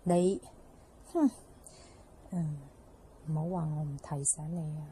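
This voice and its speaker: A woman with a Hong Kong Cantonese accent playing a sly, flattering office schemer who needles and whines in a radio drama. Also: deep voice, a little hiss or hum, rough recording. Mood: threatening. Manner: whiny, needling, drawn-out sentence endings.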